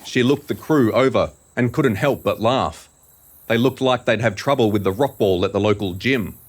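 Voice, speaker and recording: A man's voice: lowish voice, normal speed. A man narrating scripted fiction for an audio drama in a dramatic storytelling style.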